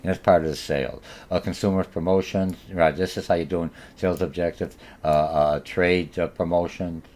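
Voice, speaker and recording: Man, Polish accent, very low voice, rambling college lecture, lots of uh and restarts. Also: steady tone, normal speed, darkish tone. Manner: rambling, many fillers and restarts. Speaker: college marketing instructor. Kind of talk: recorded lecture.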